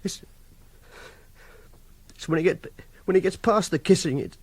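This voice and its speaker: An actor playing a repressed, socially awkward young captor in a radio drama, halting and defensive. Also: male voice, high-pitched, breathy edge. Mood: halting, ashamed. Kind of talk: radio drama dialogue and confessional narration.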